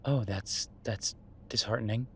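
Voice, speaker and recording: A man's voice: on the low side, unhurried, slight background noise. A man acting as an easygoing chef talking to a partner in a naturalistic audio roleplay, conversational, hesitant and wry. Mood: surprised, curious. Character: Beau, easygoing male chef, reassuring his werewolf partner. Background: ambient sound effects.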